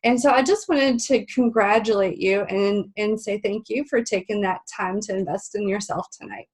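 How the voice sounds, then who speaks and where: A woman's voice: medium pitch, steady tone, normal speed, darkish tone, husky. A woman hosting a webinar, a little stuffy and nasal, talking in a friendly, chatty way with many ums. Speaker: webinar host. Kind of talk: live webinar presentation. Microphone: video call.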